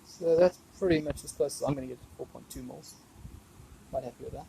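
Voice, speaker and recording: Man, gravelly mid-pitched voice, casual DIY commentary, thinking aloud, noisy recording with clicks. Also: fast talker. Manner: unscripted, thinking aloud while working. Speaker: DIY woodworking video maker. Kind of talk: casual hands-on workshop commentary. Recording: clear background noise with clicks.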